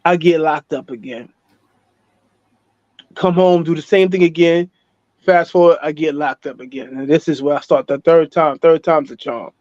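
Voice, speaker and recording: An American man being interviewed, telling his life story casually in animated street slang, repeating phrases for emphasis. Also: on the high side, moderate pace, no echo, muffled recording.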